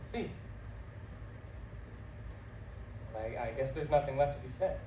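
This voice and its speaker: A woman's voice: mid-range voice, lively intonation, fairly quick, far from the mic, muffled recording, lightly acted. A woman acting a practical young newlywed husband in a stage comedy-drama, dry, sarcastic and exasperated. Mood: incredulous, resigned. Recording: echoey room with background noise.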